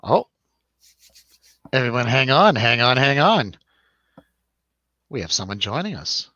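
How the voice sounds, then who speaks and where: A man's voice: medium pitch, big pitch swings, unhurried, bright, forceful tone, no echo. Jokey man hosting, slightly dull sound. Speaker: host of a movie review show. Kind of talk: casual live radio-show banter.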